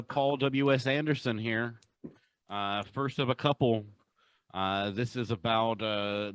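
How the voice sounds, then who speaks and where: A man co-hosting a podcast in casual, enthusiastic chat, with a halting way of talking. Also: medium pitch, dry recording. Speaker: podcast co-host. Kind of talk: casual chat, countdown commentary.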